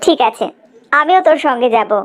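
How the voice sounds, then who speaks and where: A woman's voice: high-pitched, lively intonation, brisk. A woman playing a disapproving, opinionated mother of a grown son in a cartoon story. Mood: approving.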